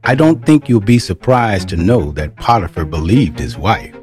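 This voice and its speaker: A man's voice: lowish voice, very animated, normal speed, a little mellow. A man acting as a wry, knowing storyteller in scripted dramatic narration. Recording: music under the narration.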